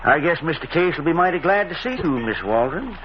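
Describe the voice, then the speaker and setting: A man acts a rustic old boatman with a rural American drawl and lively, swinging pitch. He is gossipy and slangy, heard through a dull, faintly noisy vintage radio recording. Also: fast, natural voice. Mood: folksy, matter-of-fact. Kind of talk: old-time radio drama acting.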